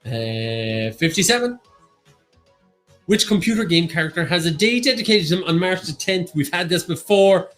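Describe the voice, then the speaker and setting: Irish man, quiz co-host, slightly high and lively voice, jokey, faint music under. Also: moderate pace. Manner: animated, joking, reads answers and percentages. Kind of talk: livestreamed pub quiz banter.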